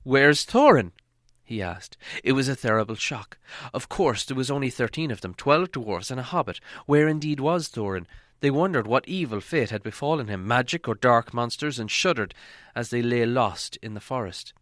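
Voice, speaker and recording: A man narrating a fantasy storybook as an audiobook reader, with measured, dramatic delivery. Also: mid-range voice, lively intonation, a bit bright, no room sound, studio quality.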